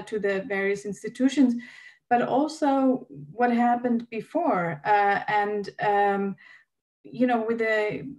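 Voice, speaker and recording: A woman's voice: a little high, warm tone. A woman from Austria interviewing a guest, speaking hesitantly with many fillers. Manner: hesitant, many ums and uhs. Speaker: interviewer, host. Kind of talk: conversational interview.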